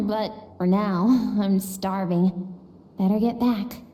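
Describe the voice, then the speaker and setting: Casting: woman playing an adventurous young game heroine, soft mellow high voice, slow earnest delivery, long reverb. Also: steady tone, faint music behind, natural voice. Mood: dreamy, restless. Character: curious, adventurous young girl longing to explore. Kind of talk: video game voice acting.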